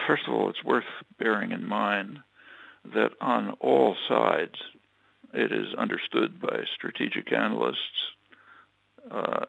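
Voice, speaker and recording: A man giving a political analysis in an interview, talking in a halting way with many fillers and restarts. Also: on the low side, gravelly, fairly bright tone, dry recording, dull audio. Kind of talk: measured spoken analysis, answering questions.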